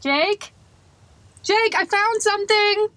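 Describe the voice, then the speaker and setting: A woman's voice: extremely high pitch, slow, fairly bright tone, dry recording, heavily acted. A woman acting a searching character in a paranormal audio drama. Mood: urgent calling out.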